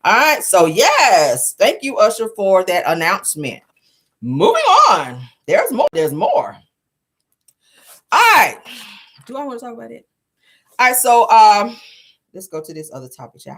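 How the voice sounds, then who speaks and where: A woman's voice: medium pitch, normal speed, slightly rough. A woman hosting a casual gossip show, sassy and colloquial, repeating phrases for emphasis. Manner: sassy, animated asides. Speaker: online show host. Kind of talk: casual celebrity gossip commentary.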